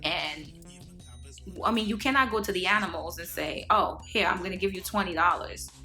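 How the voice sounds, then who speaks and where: Bright-voiced woman from Haiti, preachy. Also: fairly low pitch, normal speed, gravelly, soft background music. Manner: earnest, preachy, many fillers and restarts. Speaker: vlogger. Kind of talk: casual monologue to camera.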